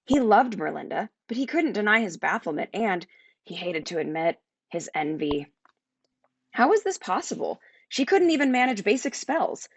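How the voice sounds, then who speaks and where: A woman narrating a children's fantasy book aloud as its author, in an expressive storytelling style. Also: medium pitch, no echo. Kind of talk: animated children's audiobook narration.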